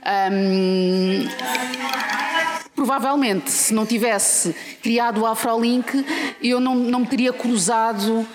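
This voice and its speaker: A woman born in Mozambique and raised in Portugal, with a European Portuguese accent, speaking as an interview guest in a measured, thoughtful way with frequent hesitations. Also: fairly high pitch, fairly even intonation, a crowd in the background. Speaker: guest, founder of a professional network. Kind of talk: casual interview conversation. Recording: recording with clear background noise.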